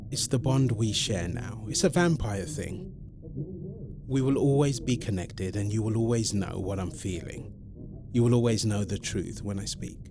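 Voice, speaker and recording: A man playing a centuries-old vampire boyfriend in an ASMR roleplay, exasperated but protective, speaking haltingly and pleadingly to the listener. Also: lowish voice, background noise. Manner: hesitant, halting, pleading, addresses listener directly.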